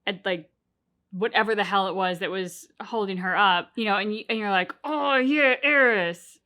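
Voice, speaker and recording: A woman co-hosting a game reaction video, talking in an animated, rambling way with many restarts. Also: mid-range voice, normal speed. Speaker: co-host of a game reaction channel. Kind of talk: casual reaction chat.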